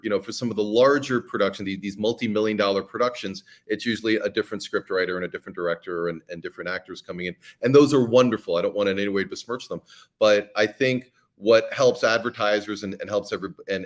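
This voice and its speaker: A middle-aged man speaks in a fairly low voice, answering earnestly as a podcast guest. He stumbles and restarts as he works out his points. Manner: earnest, with frequent restarts and repeated words. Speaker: fiction podcast creator and guest. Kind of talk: conversational interview answers.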